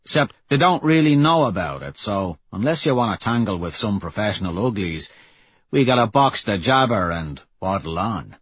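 A man's voice: on the low side, lively intonation, normal speed, breathy edge. A man voicing a wry, stringy working-class smuggler in an audiobook, talking in cocky slang. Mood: urgent, brash. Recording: muffled, roomy audio.

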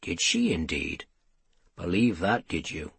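A man voicing a calm, enigmatic male investigator in an audiobook story, measured and unruffled. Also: deep voice, gravelly, husky, no echo, pitched below the performer's own voice, full character voice. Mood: cool, guarded.

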